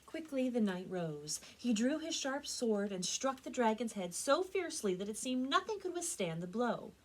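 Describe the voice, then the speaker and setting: A woman's voice: on the high side, big pitch swings, poor audio. Woman dramatically reading a storybook aloud. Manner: dramatic, deliberate phrasing with strong emphasis. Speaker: librarian reading stories for a library program. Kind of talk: expressive storybook read-aloud.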